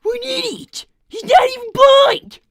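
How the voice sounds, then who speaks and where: Precocious five-year-old boy, played by a woman, piercingly high, pompous and dry, for a cartoon-style improvised gaming stream. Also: measured pace, gravelly, dry recording, heavily acted. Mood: haughty. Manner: pompous, deadpan, formal wording beyond his age. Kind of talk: improvised in-character gaming livestream.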